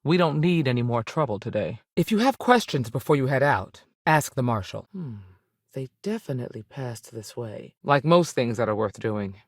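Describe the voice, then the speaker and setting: A woman's voice: very deep voice, very animated, normal speed, slightly rough, no room sound, studio quality, full character voice. A woman playing a strict, principled frontier ranger in a video game, plain-spoken and authoritative with a folksy turn. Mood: steady, firm. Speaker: video game character actor. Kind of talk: scripted game dialogue.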